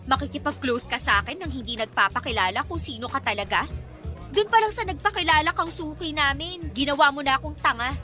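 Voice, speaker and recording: A woman with a Filipino accent playing an indignant, sharp-tongued young woman who scolds a man in a radio romance drama. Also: high voice, lively intonation, brisk, faint music behind. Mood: hurt, furious. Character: indignant, feisty young woman confronting a male prankster.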